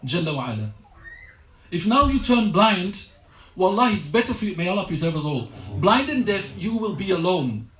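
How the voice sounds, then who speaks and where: A man's voice: high voice, very animated, moderate pace, a little hiss or hum. A man giving a religious lecture to a study circle, earnest and exhortative, often restarting his sentences. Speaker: religious teacher. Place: lecture room. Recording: roomy echo.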